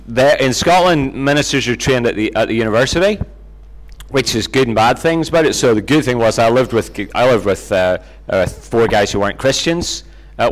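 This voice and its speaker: A middle-aged Northern Irish man speaks at an ordinary male pitch. He tells personal anecdotes informally, in a self-deprecating, conversational manner, like a guest being interviewed. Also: low-quality recording. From Northern Ireland, near Belfast. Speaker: interview guest, Bible teacher.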